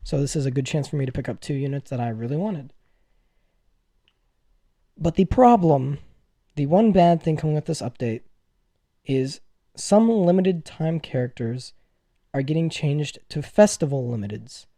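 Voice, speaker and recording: A man hosts a casual gaming news video in a slightly high, very mellow voice. He is gushing and excitable as he talks straight to his viewers. The sound is dry, with very little room to it. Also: moderate pace, pauses often, no echo.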